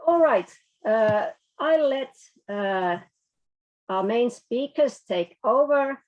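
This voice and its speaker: A woman's voice: a little high, expressive, takes it slowly, phone-quality sound. A woman hosting an event, making upbeat and encouraging announcements before handing over to the speakers. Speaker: event host.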